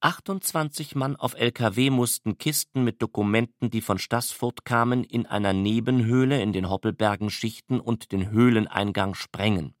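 A man reads a scripted, report-like account as narrator. His voice is on the low side. The sound is close and dry, without room echo. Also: normal speed, few pauses. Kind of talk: scripted factual report reading.

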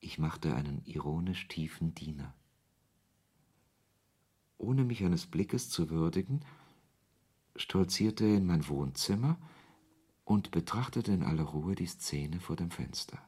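An actor playing a wry author who narrates a radio play in the first person, in a husky voice. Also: male voice, low-pitched, lively intonation, a little slow, pauses often, mellow, full character voice. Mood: wry narration. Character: male author narrating his absurd encounter, wry and irritated.

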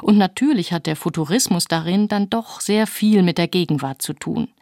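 A woman's voice: lowish voice, moderate pace, a little mellow, dry recording, clean studio sound. A woman presenting on the radio, reading a scripted introduction.

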